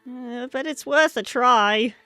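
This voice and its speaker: A woman voicing a snarky, easily rattled male steam engine in a children's story, with an animated, mocking manner. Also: fairly high pitch, slow pace, no echo, soft background music, voice pushed up.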